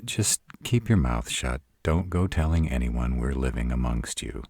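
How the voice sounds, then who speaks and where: A man's voice: extremely low pitch, very animated, measured pace, a little mellow, husky, no room sound, heavily acted. A man playing a sarcastic, proud city-bred vampire in a scripted audio roleplay, with dry, sardonic delivery. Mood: warning. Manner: sardonic, dry, rhetorical questioning.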